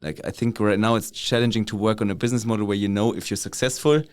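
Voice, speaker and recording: A man speaking as a founder in an interview, casual and reflective, pausing mid-sentence as he explains. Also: on the low side, steady tone, darkish tone, clean tone, no echo. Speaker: startup founder, podcast guest.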